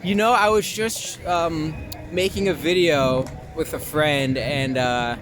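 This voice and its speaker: A man's voice: a little high, slow pace. A young American man answering casually in an interview, easygoing and hesitant as he thinks aloud. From Long Island, New York, USA. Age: young adult. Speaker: interview guest, actor and artist. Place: outdoors in a city, with traffic. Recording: noisy outdoor urban recording.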